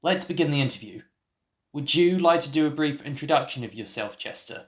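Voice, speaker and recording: A man, speaking in a formal, measured, dry way as he presents a scripted interview. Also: on the high side, lively intonation. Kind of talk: scripted interview in a radio play.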